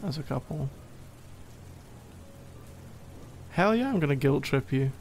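A man's voice: a little high, lively intonation, fast, a little mellow, slight background noise. A man playing a needy, playfully exasperated gamer boyfriend in a casual, intimate ASMR roleplay, teasing as he talks. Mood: teasing, resigned. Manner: teasing, mock-exasperated, conversational.

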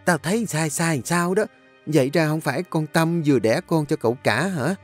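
A man's voice: fairly high pitch, moderate pace, faint music behind, pitched above the performer's own voice, lightly acted. Man playing a nosy gossiping servant girl. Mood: gossipy. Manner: gossipy, sneering.

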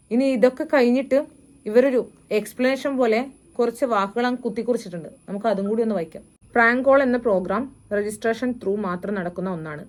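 A woman's voice: on the high side, darkish tone. A woman giving disapproving, at times sarcastic opinion commentary as a reaction video host.

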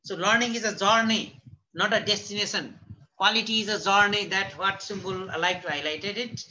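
A man in his thirties with a Nepali accent moderates an online panel as a teacher, speaking haltingly and repeating phrases for emphasis. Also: sits high, pushed and bright, highs cut off. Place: indoors, plain-walled room. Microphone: computer mic on a video call. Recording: noisy video-call audio with clicks.

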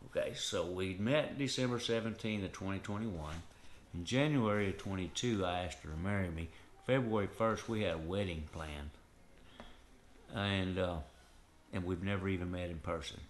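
A man's voice: low voice, normal speed, slight background noise. An older man with a Southern US accent, telling a personal story to camera in an earnest, rambling way, with frequent "and, uh" fillers. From Southern United States. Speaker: vlogger. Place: living room, indoors.

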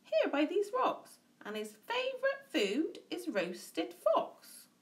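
Casting: woman to play cheeky little boastful mouse, storybook read-aloud, high pitch, very animated. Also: slow pace, exaggerated voice. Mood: polite, boastful. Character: small, cheeky, boastful, quick-witted male mouse.

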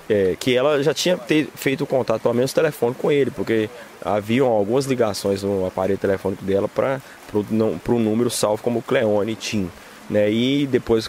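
A Brazilian police lieutenant with a Brazilian Portuguese accent, reporting a crime in halting official jargon, outdoors on a street. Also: male voice, lowish voice, a little mellow, slight background noise. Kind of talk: field report interview.

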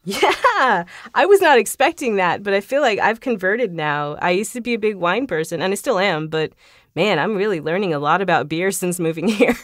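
Female podcast guest, mid-pitched, chatty and informal, close dry home-studio sound. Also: lively intonation, normal speed, no echo. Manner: chatty, laughs often. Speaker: guest, voice actor. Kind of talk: casual interview chat. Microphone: shotgun studio microphone.

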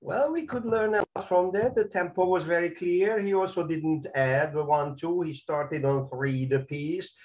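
A man's voice: fairly high pitch, normal speed, hardly any pauses, lo-fi sound. A man with a Hungarian accent who teaches conducting in a video lesson, talking through excerpts with careful, non-native phrasing and many fillers. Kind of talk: spoken video lesson with commentary.

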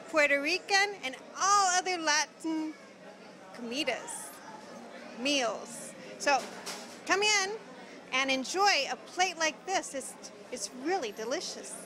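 A middle-aged woman presenting dishes to camera in a promotional style, with halting, simple phrasing, on a handheld mic. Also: extremely high pitch, pushed and bright. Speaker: TV cooking segment presenter. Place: restaurant kitchen counter. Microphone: handheld microphone.

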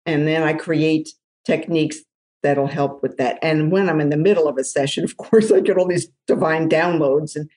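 A woman's voice: steady tone, moderate pace, a little mellow. A woman drum therapist, a podcast guest, telling stories with energy and acting out other people's voices. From New Jersey. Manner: acts out other people's voices with big jumps in pitch. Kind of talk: animated storytelling in conversation.

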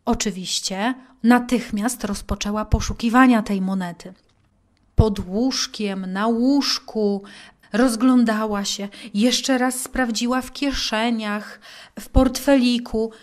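A woman tells a children's story in a fairly high voice. She goes very slowly, with deliberate, simple phrasing. Her narration is expressive and leaves dramatic pauses.

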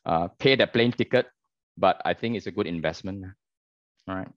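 A middle-aged man gives informal advice in a deep, low voice. The video call sound is dull but dry, with little room in it. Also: moderate pace, no room sound. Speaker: architect, panel speaker. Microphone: video call microphone.

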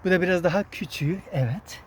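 A woman's voice: lowish voice, fast, noisy background. A woman hosting a casual outdoor vlog, explaining nature to children in an instructive way and often repeating her words. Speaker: vlog host guiding children. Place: outdoors in a wooded area.

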